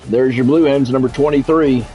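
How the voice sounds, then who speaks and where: A man calling live play-by-play of a football video game in measured, short clipped announcer phrases. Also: mid-range voice, steady tone, warm tone. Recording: dull lo-fi audio with music behind.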